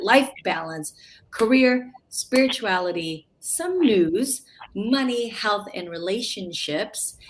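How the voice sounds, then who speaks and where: A woman's voice: mid-range voice, a bit bright, slight background noise. A woman hosting a live online talk show, opening it with gushing, effusive introductions over a video call. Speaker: host.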